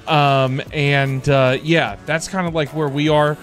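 A middle-aged man chatting casually on a livestream in a halting, repetitive, informal way. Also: fairly high pitch, steady tone. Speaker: livestream host. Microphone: close desk microphone. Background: background music.

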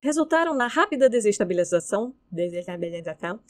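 A woman's voice: medium pitch, big pitch swings, fast talker, a little mellow. A Brazilian woman reading scripted narration in outtakes, slipping into casual, self-mocking muttered asides. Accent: Brazilian Portuguese. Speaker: narrator of horror fiction records.

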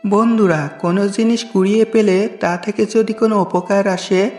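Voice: medium pitch, fairly even intonation, normal speed, darkish tone. A storyteller reading a scripted fable narration for a cartoon. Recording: roomy echo with music behind.

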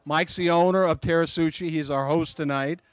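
A man's voice: fairly high pitch, steady tone, normal speed, clean tone, dry recording. Man emceeing, dry close handheld mic. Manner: friendly, chatty introductions. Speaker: emcee of a club meeting. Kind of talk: introducing speakers. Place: outdoor restaurant patio at night.

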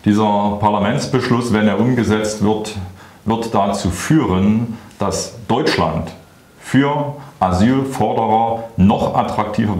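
Measured middle-aged man in an echoey studio. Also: lowish voice, a little mellow. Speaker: politician answering as studio guest. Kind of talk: prepared political statement. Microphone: clip-on lapel mic. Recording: roomy, echoing studio sound.

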